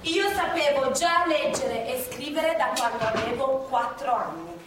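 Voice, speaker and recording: A spirited, bookish working-class woman from Milan in a stage play. She speaks high and emphatically, recorded from far off in a very echoey theatre. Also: a little slow. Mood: proud, defiant. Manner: emphatic, animated storytelling. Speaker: stage actress. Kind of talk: live stage monologue and dialogue, theatrical. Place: indoor theatre stage, distant microphone. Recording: roomy theatre recording from afar, faint noise.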